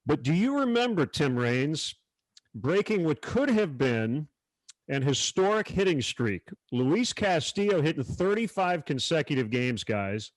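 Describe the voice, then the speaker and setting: A man's voice: on the high side, very animated, unhurried, no room sound, poor audio. A man working as an announcer, hosting a virtual sports panel discussion. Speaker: panel host, baseball announcer.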